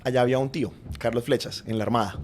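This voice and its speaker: A man with a Colombian accent, a podcast guest, reflectively recounting his family's past in an earnest, unhurried way. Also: medium pitch, fairly even intonation, darkish tone, slight background noise. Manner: earnest, reflective, frequent "digamos" and "pues" fillers. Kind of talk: personal storytelling in conversation.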